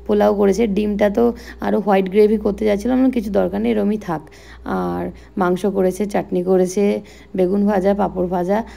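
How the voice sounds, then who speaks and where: A woman's voice: medium pitch, creaky, warm tone. A young woman with a West Bengali accent narrating a casual daily vlog in a chatty, explanatory way. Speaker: vlogger.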